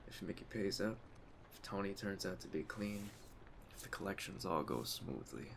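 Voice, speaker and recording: Aging mafia don, played by a man: very deep, creaky, measured authority, streetwise ASMR roleplay delivery. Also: full character voice. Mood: reflective. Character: calm, commanding aging mafia boss giving orders. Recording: clear ambient storm noise behind. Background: storm ambience.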